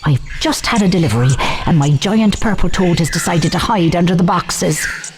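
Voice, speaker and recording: A woman voicing a brisk, welcoming keeper of a magical pet shop in a video game. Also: on the low side, animated, over music, natural voice. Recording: noisy background with clicks.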